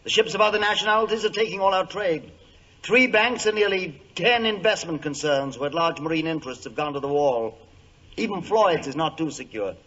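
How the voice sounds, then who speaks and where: Husky, anxious English gentleman. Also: fairly high pitch, a little fast. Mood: grave. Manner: grave, formal, dramatic. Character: anxious, pompous English insurance company chairman. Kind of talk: 1940s radio acting. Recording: muffled old radio recording.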